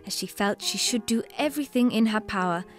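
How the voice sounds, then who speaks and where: Period radio drama: actress as composed female news reader, middle pitch, soft music underneath. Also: fairly quick, close to an everyday voice. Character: composed 1912 newspaper reporter reading a report.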